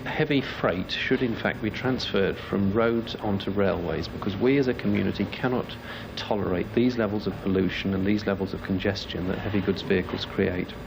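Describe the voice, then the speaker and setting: A man delivers a campaign speech in a fairly low voice. Clear urban noise, with traffic, runs behind his words. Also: fairly even intonation, normal speed, hardly any pauses. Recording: clearly noisy, urban background sound.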